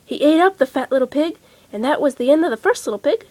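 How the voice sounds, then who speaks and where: A woman narrating children's bedtime stories with expressive, dramatic and emphatic phrasing. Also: high voice, fast talker, no echo. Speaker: storyteller.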